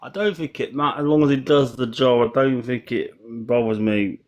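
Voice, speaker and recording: A man's voice: medium pitch, normal speed, a little mellow. Hesitant man on a call-in. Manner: hesitant, trails off and restarts. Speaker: caller. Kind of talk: casual football chat.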